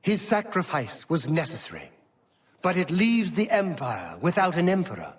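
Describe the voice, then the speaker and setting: High-pitched, stately imperial chancellor. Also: male voice, sing-song, normal speed, far from the mic. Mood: grave, mournful. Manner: formal, declamatory, emphatic. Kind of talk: dramatic fantasy game acting.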